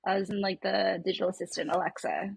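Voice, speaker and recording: A woman's voice: mid-range voice, fairly even intonation, quick pace, vocal fry, poor audio. A woman guesting on a podcast, chatting casually in short remarks and interjections. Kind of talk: casual chat, brief interjections.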